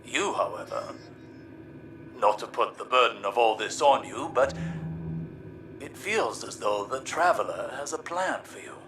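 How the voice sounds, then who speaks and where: A man playing a scholarly, courteous strategist in a video game, speaking formally and thoughtfully in deliberate phrases. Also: medium pitch, expressive, noisy background, close to an everyday voice. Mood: gently probing. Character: scholarly male strategist, grave, courteous, questioning. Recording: music behind the voice.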